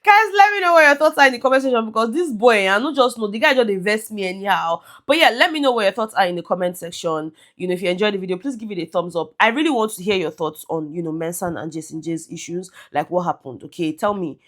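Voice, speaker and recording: A Nigerian woman vlogging, giving animated and opinionated reality-show commentary that mixes in Pidgin. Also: mid-range voice, quick pace.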